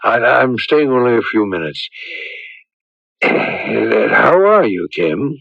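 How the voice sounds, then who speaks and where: An actor playing a grave, hesitant village priest in a radio drama, solemn and suspicious. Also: male voice, mid-range voice, lively intonation, a bit bright, dry recording, exaggerated voice. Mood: hesitant, polite. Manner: halting, solemn, clears throat. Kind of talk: 1980s radio drama acting. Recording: dull lo-fi radio broadcast recording.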